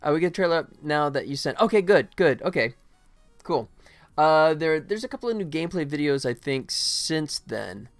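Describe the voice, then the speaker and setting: A middle-aged man streaming as a game developer, chatting casually with viewers in a friendly, self-deprecating, rambling way with halting starts. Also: on the high side, expressive, no room sound, soft background music. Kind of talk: casual livestream chat to viewers.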